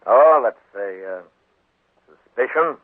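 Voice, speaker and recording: An American man playing a dry, shrewd, unyielding frontier marshal in a 1950s radio western, speaking in a laconic, wry, deliberate way. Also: medium pitch, expressive. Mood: wry, needling. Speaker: lead actor in radio western. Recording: muffled, band-limited 1950s radio with echo.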